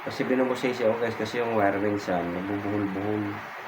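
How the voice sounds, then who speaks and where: A man's voice: on the low side, steady tone, fairly quick. A Filipino man giving a casual hands-on tutorial, informal and repeating his phrases as he demonstrates. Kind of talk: casual hands-on how-to chat. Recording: very noisy background ambience.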